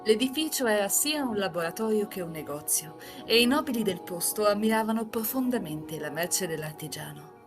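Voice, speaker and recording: A woman's voice: medium pitch, lively intonation, fairly quick, hardly any pauses. A woman reading scripted narration for a live visual novel reading. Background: music.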